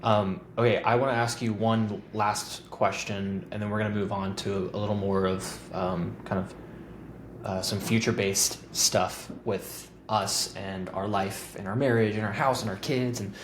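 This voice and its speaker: A man's voice: lowish voice, fairly even intonation, measured pace. Easygoing man in an echoey room. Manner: easygoing, rambling questions with fillers. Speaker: podcast host. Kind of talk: casual conversation. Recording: roomy with faint background noise.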